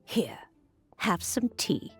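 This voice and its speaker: A woman voicing a kindly, composed old lady in an anime game, speaking gently and courteously. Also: slow pace, over music, close to an everyday voice. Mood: kindly, soothing. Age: older.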